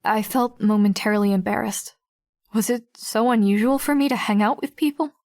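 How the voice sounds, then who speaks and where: A woman playing a guarded, observant teenage girl who narrates an audiobook in the first person, reflective and measured. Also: mid-range voice, a little mellow, natural voice. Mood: wary. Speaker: narrator and lead character.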